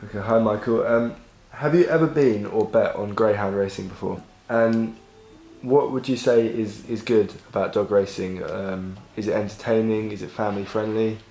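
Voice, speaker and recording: A man interviewing people, asking questions with frequent ums and pauses. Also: lowish voice, fairly even intonation, vocal fry, dark tone. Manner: hesitant, many ums. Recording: echoey room.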